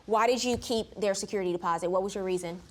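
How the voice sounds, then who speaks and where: A woman's voice: medium pitch, fast talker, darkish tone. Firm, direct woman judge. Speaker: TV court judge. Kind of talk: courtroom questioning and rulings.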